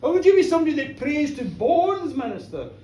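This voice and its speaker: A storyteller voicing a tall, sinister gentleman who taunts theatrically with drawn-out words and loud laughter. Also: high voice, big pitch swings, reverberant, recorded from a distance, pitched above the performer's own voice. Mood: taunting. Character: tall sinister mocking gentleman, gleefully menacing.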